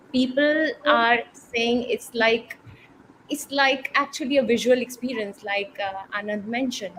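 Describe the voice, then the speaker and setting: A woman with an Indian accent and a fairly high voice. She talks promotionally as a marketing lead on an online panel, with faint background noise. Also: low-quality recording. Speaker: marketing head, panel guest. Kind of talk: promotional talk at online launch.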